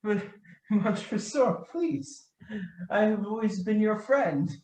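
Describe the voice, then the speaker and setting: A woman acting a drunken, trusting nobleman in a radio play, stammering and halting, with coughs and weak laughs breaking up the words. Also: mid-range voice, dark tone. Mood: desperate, pleading. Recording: roomy, echoing sound.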